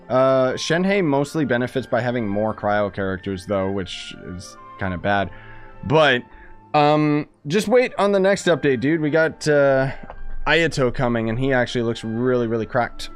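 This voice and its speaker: A man giving casual, sarcastic gameplay commentary as a streamer, rambling between the cutscenes. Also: mid-range voice.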